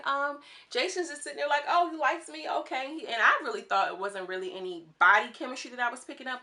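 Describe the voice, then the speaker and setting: Chatty houston woman w/ a twang, talks fast, bright sharp voice, does little voices for quotes. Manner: fast-talking, chatty, acts out quotes in a higher voice. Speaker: YouTube reality TV commentator. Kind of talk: casual commentary monologue.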